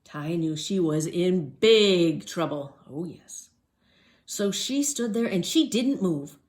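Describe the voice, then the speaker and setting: Mujer cuentacuentos de entonación muy animada. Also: female voice, on the low side, slow pace. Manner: expressive, emphatic. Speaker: storyteller. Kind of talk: children's story read-aloud.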